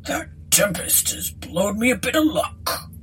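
A woman's voice: mid-range voice, lively intonation, a little slow, fairly bright tone, slight background noise. A woman voicing a plain-spoken rustic English landlord with homely, puzzled country phrasing in a storybook reading. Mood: pleased.

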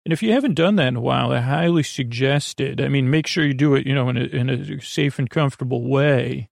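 A man hosting a bedtime podcast in a rambling monologue, with a gravelly voice and a bumbling way of circling around his point. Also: mid-range voice, brisk, no echo, studio quality. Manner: meandering, bumbling, trailing off and circling back. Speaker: podcast host.

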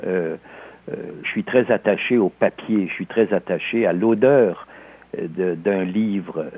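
An older man speaks with a Québécois accent, his low voice husky. He answers slowly and reflectively, pausing often as he searches for words. The sound is thin and phone-like. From Alsace, France. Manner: measured, halting with frequent hesitations. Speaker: interview guest, novelist. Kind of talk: reflective interview talk.

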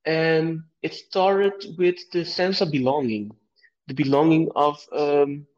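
A man's voice: fairly high pitch, steady tone, takes it slowly, darkish tone. A man from Aruba, an artist being interviewed, answering in a reflective way and searching for his words. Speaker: guest artist. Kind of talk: podcast interview. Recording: dull lo-fi audio.

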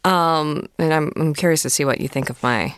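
A woman's voice: deep voice, moderate pace, a little hiss or hum. A woman guest in a casual podcast chat, talking in a chatty way with lots of fillers and easy laughs.

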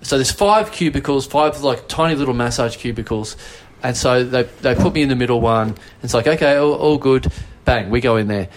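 A man's voice: medium pitch, a little fast, hardly any pauses, a little hiss or hum. Rambling Australian man telling a story. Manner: rambling, swears casually, self-mocking. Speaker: podcast host. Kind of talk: casual storytelling chat.

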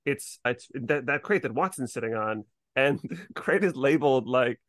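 A man's voice: medium pitch, lively intonation, normal speed, a bit bright, no echo, clean studio sound. A man chatting casually as a podcast co-host, sprinkling his talk with "like". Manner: chatty, frequent "like" and hedging. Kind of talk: casual chat discussing a TV series.

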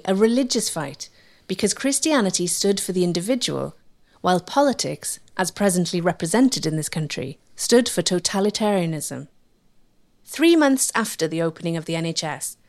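A woman giving measured, scripted narration for a history documentary. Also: on the low side. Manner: measured, articulate.

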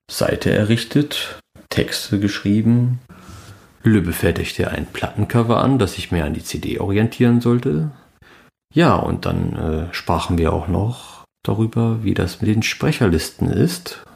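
A middle-aged man presents a fan podcast as a solo monologue. His voice sits very low and has a strong fry to it, like someone speaking from deep in his register. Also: a little mellow. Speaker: podcast host.

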